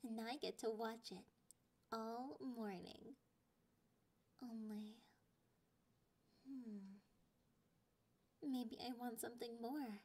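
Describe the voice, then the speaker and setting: A possessive young catgirl girlfriend, acted by a woman, purrs in a high, girlish voice. She takes her time over every taunt, sliding between intimate speech and breathy whispers in an ASMR roleplay style. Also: slow pace, pauses often, a little mellow, heavily acted. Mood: sly, doting. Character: clingy, possessive, teasing young catgirl girlfriend, slightly sadistic.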